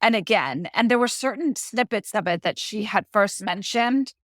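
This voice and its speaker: A woman's voice: medium pitch, normal speed, no room sound. This is a middle-aged woman from Colombia speaking as a guest in an interview. She is reflective and often restarts as she looks for the right words. Her voice sits in a middling register and the recording is dry and close. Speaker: author and guest. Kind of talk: interview conversation.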